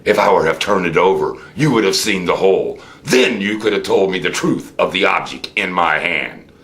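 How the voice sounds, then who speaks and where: An American man giving an unscripted political monologue, theatrical in manner, with rhetorical questions and drawn-out emphasis. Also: low-pitched, lively intonation, fairly bright tone. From United States. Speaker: host of a political commentary show.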